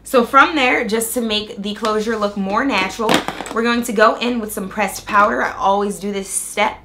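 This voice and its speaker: A young woman vlogging a hair tutorial in a chatty, excited way, walking through each step, her voice a little raspy from a cold. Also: medium pitch, normal speed, over music. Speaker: beauty vlogger. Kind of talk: casual hair tutorial and review.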